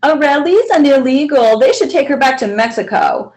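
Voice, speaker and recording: Mean storybook schoolchild done by a woman, high pitch, very lively intonation, jeering, scornful, drawn-out emphasis. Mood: mocking.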